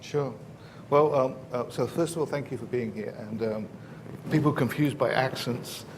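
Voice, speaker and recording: A man with an English accent answers a question on a conference panel, speaking at an ordinary middle pitch. He talks like a professor, explaining his points slowly and carefully. He stumbles through restarts and drops plenty of "uh"s and "um"s. A faint hiss sits under his voice. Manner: hesitant, many fillers and restarts, explanatory.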